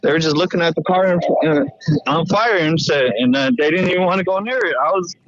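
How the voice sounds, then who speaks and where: A man on a phone call, recounting what he saw in a halting, repetitive, slangy way. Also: a little high, fairly bright tone, dry recording. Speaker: eyewitness phone caller. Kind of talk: casual recounting.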